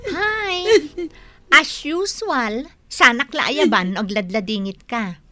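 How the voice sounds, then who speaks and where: A gossipy, chiding girlfriend in a radio drama. Her voice is very high and sharp, swinging freely up and down as she speaks her mind. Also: animated, slow, soft background music, heavily acted. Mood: teasing, chiding. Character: gossipy, frank young woman friend. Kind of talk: Ilocano radio drama acting.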